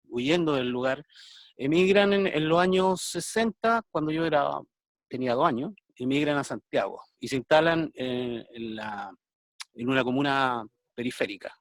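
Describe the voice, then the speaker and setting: A man's voice: medium pitch, fairly bright tone, no room sound. A man in his fifties with a Chilean accent, speaking as a guest artist on a video call in a thoughtful, hesitant way with many fillers. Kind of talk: conversational interview, reflective.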